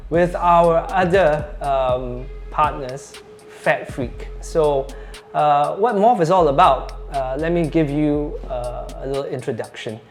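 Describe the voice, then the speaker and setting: A man giving an informal, halting product presentation as a company spokesperson in a showroom. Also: a little high. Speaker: company spokesperson, chief storyteller. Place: showroom office. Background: music.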